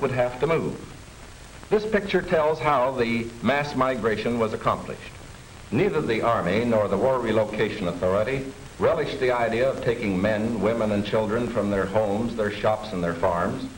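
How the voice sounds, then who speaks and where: An American man reading scripted 1940s wartime documentary narration in a measured, formal manner. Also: mid-range voice, slight background noise, poor audio. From United States. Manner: measured, formal, declarative delivery. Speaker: government film narrator. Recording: 1940s film soundtrack with music underneath. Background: music.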